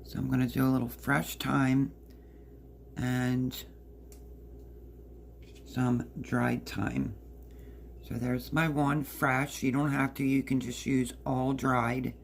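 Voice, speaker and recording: Hoarse, gravelly woman cooking at home. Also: very deep voice, level tone, slow pace, long pauses, a little hiss or hum. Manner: chatty, explains step by step while working. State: hoarse, partly lost voice. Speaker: home cook. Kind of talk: casual cooking demonstration. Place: home kitchen.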